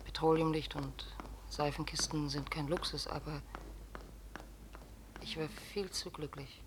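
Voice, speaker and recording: Slow, breathy grieving mother. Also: low-pitched, level tone, background noise. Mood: sorrowful, self-blaming. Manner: halting, musing, subdued. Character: grief-stricken, self-blaming poor mother. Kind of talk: radio drama inner monologue.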